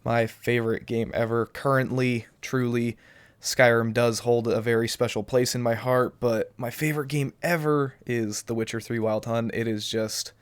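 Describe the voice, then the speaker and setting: A man's voice: medium pitch, fairly even intonation, normal speed, clear, resonant tone, no echo. A young man answering viewer questions to his webcam, rambling and hesitant, his voice still a little off from the flu. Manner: rambling and hesitant, many restarts and ums. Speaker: gaming YouTuber. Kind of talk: casual Q&A talking to camera. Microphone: cheap webcam.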